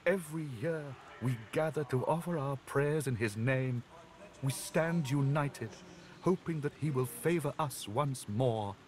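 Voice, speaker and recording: A man playing a reverent, gossipy temple guide in a video game, measured and knowing. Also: mid-range voice, a little mellow, close to an everyday voice. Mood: devout. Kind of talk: video game dialogue acting. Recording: game dialogue with music behind. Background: game music.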